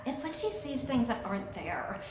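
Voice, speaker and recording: A woman's voice: on the high side, sing-song, moderate pace, noisy background. A woman voicing a scornful, gossiping schoolgirl bully in a video game, with heavy echo. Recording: heavy echo, loud music behind.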